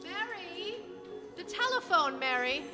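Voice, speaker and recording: A woman's voice: very high-pitched, sing-song, slow pace, music in the background, full character voice. A woman playing a nosy, nagging middle-aged mother, calling out shrilly in a live old-time radio play. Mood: indignant.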